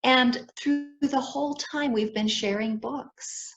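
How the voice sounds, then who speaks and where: A woman's voice: sits high, steady tone, takes it slowly. An older woman with an American accent, a children's book author telling personal stories in a talk for young listeners. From grew up in Ethiopia as an American child.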